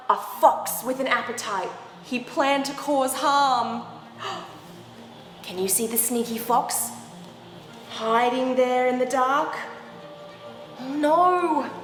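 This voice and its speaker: A woman reading a picture book aloud to young children, expressive, with animated, exaggerated reactions and asides. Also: sits high. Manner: animated, exaggerated reactions with gasps and drawn-out words. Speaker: children's storyteller. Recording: roomy echoing hall sound, background music. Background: music.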